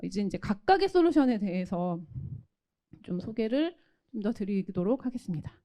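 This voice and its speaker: Female solutions presenter, mellow tone with animated intonation, formal and explanatory. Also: mid-range voice, moderate pace. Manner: explanatory, polite formal delivery. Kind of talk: technical product presentation.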